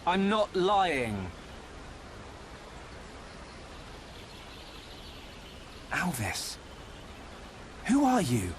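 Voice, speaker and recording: A man's voice: sits high, wildly swooping pitch, extremely slow, a little hiss or hum, heavily acted. A man voicing an earnest young swordsman hero in a video game, asking and declaring things with sincerity. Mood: subdued.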